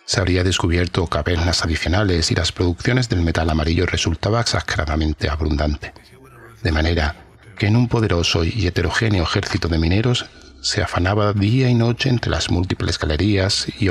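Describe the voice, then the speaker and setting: Man playing a grave, gravelly old ex-officer. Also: sits low, full character voice. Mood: measured, reflective. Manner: grave, formal, measured. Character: weary, secretive old former army officer recounting horror. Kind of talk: first-person audio horror storytelling. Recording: music under the voice. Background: music.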